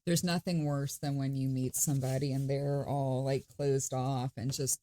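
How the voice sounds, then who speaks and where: A woman's voice: a bass voice, level tone, normal speed, mellow. A woman co-hosting a casual spiritual podcast over a video call, talking in an upbeat way and stringing ideas into lists. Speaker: podcast co-host. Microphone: video call, slight delay.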